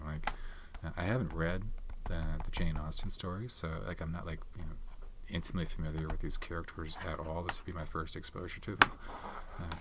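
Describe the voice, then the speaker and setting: A man speaking softly in an ASMR video, chatting casually and rambling with many fillers and restarts. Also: low voice, noisy background. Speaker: ASMR channel host reviewing his film collection. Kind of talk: soft-spoken ASMR chat.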